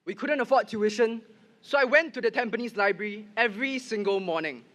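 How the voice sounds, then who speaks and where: A young man speaks at a rally, telling his personal story in an earnest way. His voice is very high and bright. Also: fairly quick, lo-fi sound. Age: young adult. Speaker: first-time voter speaking at rally. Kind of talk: prepared rally speech. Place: outdoor rally with loudspeakers.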